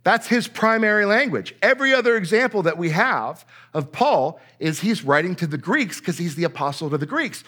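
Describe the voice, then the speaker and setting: A man's voice: high voice, lively intonation, normal speed, hardly any pauses, pushed and bright. Emphatic man teaching a sermon. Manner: emphatic, repeats words for stress; reads scripture aloud then explains it.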